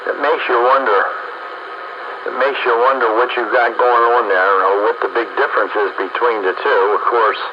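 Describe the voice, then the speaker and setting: A man in casual radio chat with other operators, halting and repeating his phrases. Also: medium pitch, fairly even intonation. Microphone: radio transmission. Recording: muffled two-way radio with clear static.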